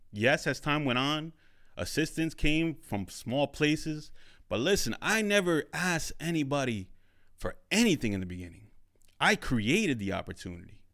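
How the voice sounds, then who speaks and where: A man's voice: medium pitch, fairly bright tone. A young man hosting a solo podcast, talking emphatically and repeating his points for emphasis. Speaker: podcast host and studio owner. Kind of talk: motivational solo podcast monologue.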